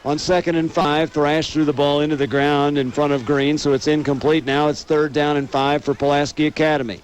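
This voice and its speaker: A man's voice: a little high, fairly quick. An American man calling live football play-by-play as a radio announcer, over outdoor noise. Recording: noisy outdoor stadium radio broadcast. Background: steady outdoor ambient noise.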